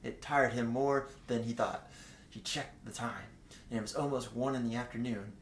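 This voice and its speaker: A man's voice: medium pitch, fairly even intonation, moderate pace, slight background noise. Man, casual comedic reading, giggly, joking riffs, echoey room sound. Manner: giggly, joking, breaks off to riff. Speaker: guest co-reader of fan fiction. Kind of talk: comedic read-aloud with casual banter.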